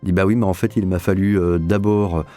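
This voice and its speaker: Low, mellow man, reflective interviewee. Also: steady tone, brisk, faint music behind. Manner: explanatory, rephrases and repeats for emphasis. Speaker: audio drama director being interviewed.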